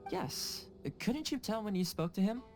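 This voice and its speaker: A woman's voice: mid-range voice, animated, normal speed, lightly acted. An actress playing a composed, knowing woman informant in a video game, poised, tactful and explanatory. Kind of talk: video game character acting. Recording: music behind the voice.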